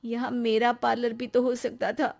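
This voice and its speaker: Woman acting a high, plaintive young wife. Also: flat delivery, moderate pace, creaky, darkish tone. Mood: upset, defensive. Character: young married woman, emotional, quick to complain. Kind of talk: cartoon story dialogue.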